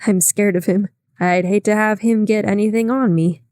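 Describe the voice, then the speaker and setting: A woman's voice: medium pitch, fairly even intonation, normal speed, a little mellow, no echo, studio quality, natural voice. A woman reading aloud, voicing a chatty, gossiping young woman with a raised, breathless voice. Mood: confiding.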